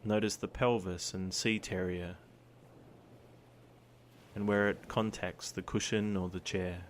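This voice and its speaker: A man guiding a meditation in a calm, soothing, gentle manner, with long pauses between his instructions. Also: low voice, a little hiss or hum. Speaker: meditation guide. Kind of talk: guided body scan meditation. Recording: surf sounds behind the voice. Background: ocean surf ambience.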